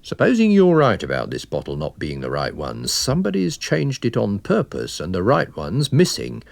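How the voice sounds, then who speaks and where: A man's voice: on the low side, huge pitch swings, gravelly, no room sound, full character voice. A man voicing a wary, methodical police inspector with a plain, official manner in a dramatised story reading. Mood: stern, suspicious. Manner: official, plain-spoken, deliberate.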